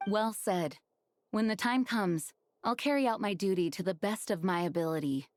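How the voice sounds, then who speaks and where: A woman playing a composed, dutiful woman in authority, grave and courteous, speaking in a measured, formal way in a video game. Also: mid-range voice, dry recording, clean studio sound, close to an everyday voice. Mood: resolute.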